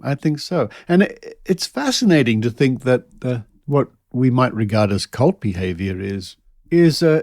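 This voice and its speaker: A man interviewing as a podcast host, phrasing his thoughts in a measured, reflective way. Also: mid-range voice, a little mellow, dry recording, clean studio sound. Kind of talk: conversational interview.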